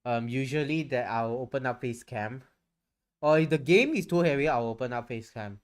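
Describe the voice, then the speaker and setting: A man's voice: mid-range voice, normal speed, clear, resonant tone. Casual, candid male streamer. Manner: rambling, candid, swears casually. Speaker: streamer and VTuber. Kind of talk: casual livestream chat.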